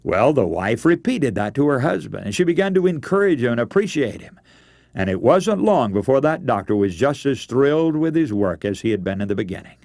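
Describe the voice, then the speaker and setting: Lively male radio preacher, dry sound. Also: mid-range voice, no room sound. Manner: earnest, instructive, addresses listeners as friends. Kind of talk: radio sermon with practical family advice.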